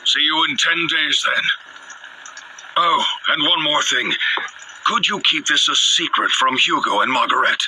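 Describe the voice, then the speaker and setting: A man playing a driven, courteous alchemist in a video game, speaking in halting, deliberate phrases with dramatic pauses. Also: on the high side, background noise, lightly acted. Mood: confiding. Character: earnest, driven male alchemist scientist, wistful and courteous. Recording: music under the voice. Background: background game music.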